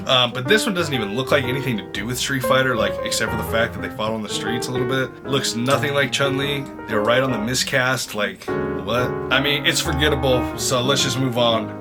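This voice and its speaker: A young man hosts a reaction show in a casual, slangy way, with prominent music playing behind him. His pitch sits in the middle, and he gives blunt opinions in loose, informal speech. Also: brisk, hardly any pauses. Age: 20s. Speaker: host of a video reaction show.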